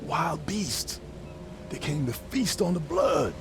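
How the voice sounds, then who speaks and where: A man's voice: on the high side, animated, takes it slowly, over music. An actor playing a terrified male survivor trapped by sea monsters, pleading and stammering for help. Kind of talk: video game cutscene acting.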